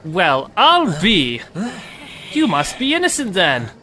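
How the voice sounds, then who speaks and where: A man's voice: high-pitched, huge pitch swings, slow, pushed and bright, a little hiss or hum, full character voice. A man playing a folksy, drawling Old West lawman in an audio drama. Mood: sheepish.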